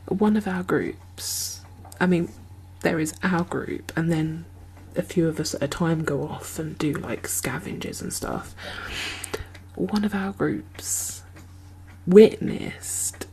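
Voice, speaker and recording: A woman playing an anxious, caring zombie apocalypse survivor in a soft-spoken, half-whispered ASMR roleplay, hesitant and musing, with breathy sighs. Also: fairly low pitch, full character voice. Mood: troubled, indignant.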